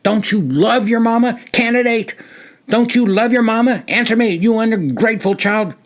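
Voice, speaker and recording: A man's voice: high voice, moderate pace, voice pushed up, lightly acted. A man voicing a harsh senior officer candidate who screams orders and mocks in sing-song. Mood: screaming.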